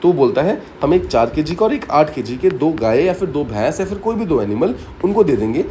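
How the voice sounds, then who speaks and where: A man's voice: on the high side, sing-song, fairly quick, dark tone, a little hiss or hum. A man teaching exam students by telling a story, explaining step by step and often repeating key points with short English asides. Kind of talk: story-based mnemonic lesson.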